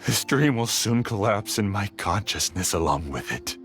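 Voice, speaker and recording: A hopeless, grieving man in a video game, bitter and worn down. His fairly low voice still swings widely in pitch, with faint music behind him. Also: lively intonation, moderate pace, fairly bright tone. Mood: despairing. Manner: despairing, heavy.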